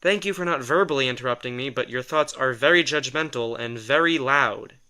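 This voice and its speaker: A man's voice: medium pitch, a little fast, sharp tone, natural voice. A man acting in an audio drama as a sardonic, exasperated assistant who reads minds, talking in a wry, defensive, rambling conversational way. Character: sardonic, exasperated male telepath, former personal assistant to a writer.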